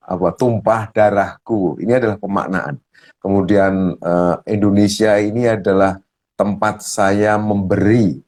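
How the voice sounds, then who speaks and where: A man speaking as a coach, explaining ideas step by step in a slow, thoughtful way with many fillers. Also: on the low side, darkish tone. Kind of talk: explaining ideas in a talk show.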